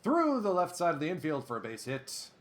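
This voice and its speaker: A man doing play-by-play commentary over a baseball sim game, excitable and chatty, drifting into asides. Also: on the high side, a little fast, a little hiss or hum. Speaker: let's play host.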